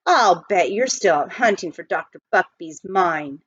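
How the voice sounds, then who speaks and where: An earnest young boy played by a woman, polite and wary, speaks plainly with very animated intonation. It is a storybook read aloud, recorded dry with a somewhat dull tone. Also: fairly low pitch, measured pace, breathy edge, no room sound, exaggerated voice. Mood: wary, firm.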